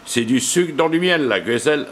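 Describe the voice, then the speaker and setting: A man's voice: medium pitch, expressive, normal speed, heavy background noise. A man working as an amateur radio operator, chatting casually and colloquially, with plenty of radio jargon. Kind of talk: casual radio contact chat.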